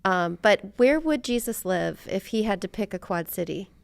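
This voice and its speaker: A woman's voice: medium pitch, expressive, normal speed, creaky. A middle-aged American woman hosting a podcast, talking in a chatty, informal and reflective way. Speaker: podcast host. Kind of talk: casual conversational interview.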